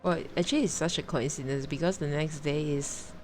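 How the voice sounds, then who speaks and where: A woman live streamer talking casually over a game, in a halting, rambling way. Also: sits low, darkish tone, faint music behind. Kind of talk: casual game stream, reading along and commenting.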